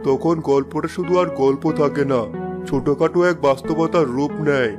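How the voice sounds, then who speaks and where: An actor voicing a calm, wise, kindly talking tree in a children's cartoon, speaking in a measured, reassuring way. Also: medium pitch, natural voice. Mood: kindly, thoughtful. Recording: music behind the voice. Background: music.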